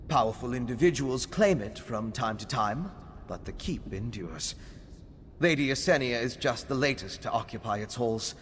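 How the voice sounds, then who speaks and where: A man's voice: medium pitch, close to an everyday voice. Man acting a thoughtful scholar in echoing halls. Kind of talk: video game character acting. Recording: long hall reverb, faint background noise.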